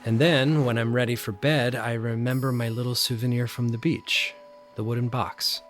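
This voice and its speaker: An actor playing a haunted, curious solitary man in an audio drama, halting and gravelly, as if thinking aloud. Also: medium pitch, little pitch movement, soft background music, lightly acted. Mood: quiet, reflective. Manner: hesitant, halting, murmuring to himself. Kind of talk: first-person dramatic narration with in-scene dialogue.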